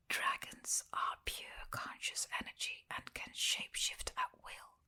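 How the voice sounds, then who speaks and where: A woman whispering a scripted spiritual ASMR teaching in breathy, deliberate short phrases with pauses. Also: very high voice, sharp tone.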